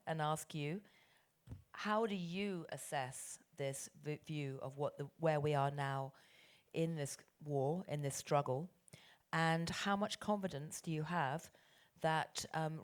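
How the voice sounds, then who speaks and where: Brisk low-voiced British woman moderator. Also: fairly even intonation. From Britain. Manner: brisk, articulate, with frequent ums. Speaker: panel moderator. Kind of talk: moderating a panel discussion.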